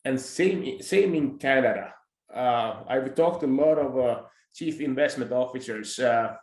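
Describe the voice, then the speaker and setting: A man from Finland, an interviewer, making a counterpoint slowly with many hesitations and repeated words. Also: mid-range voice, darkish tone, reverberant. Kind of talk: measured discussion.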